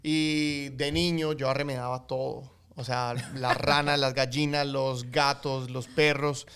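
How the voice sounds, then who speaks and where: A middle-aged man with a Colombian accent, telling his life story as a guest in a relaxed interview, full of fillers and restarts. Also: mid-range voice, unhurried, clear, resonant tone. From United States, Colombian family. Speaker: guest, actor and coffee entrepreneur. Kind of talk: casual interview storytelling in Spanish.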